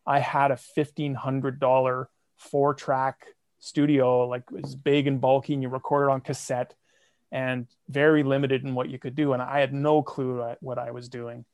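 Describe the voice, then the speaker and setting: A man's voice: mid-range voice, normal speed, no echo, clean studio sound. A man guesting on a podcast, talking casually and chattily about making music at home. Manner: relaxed and chatty, with frequent "like" and light laughs. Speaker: guest, comedy fiction podcaster and songwriter. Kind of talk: casual interview chat.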